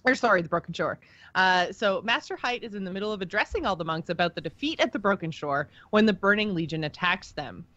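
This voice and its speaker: A woman's voice: mid-range voice, lively intonation, quick pace, a bit bright, no room sound, dull audio. A woman co-hosting a podcast, talking through game lore in a chatty, informal, enthusiastic way. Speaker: podcast co-host. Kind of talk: casual discussion of video game lore.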